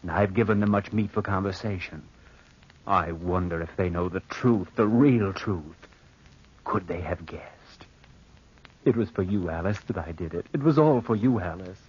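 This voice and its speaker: A brooding young heir in an old radio melodrama. His lowish voice is husky, and he speaks in halting, theatrical phrases with a secretive air. The recording is dull and vintage. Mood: brooding, haunted. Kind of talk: 1940s radio acting, confessional narration and dialogue.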